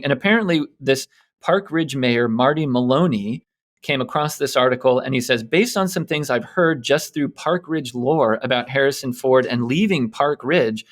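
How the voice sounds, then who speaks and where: A man co-hosting a podcast, casually retelling news stories in a bantering talk style. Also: medium pitch. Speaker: co-host presenting a news quiz segment.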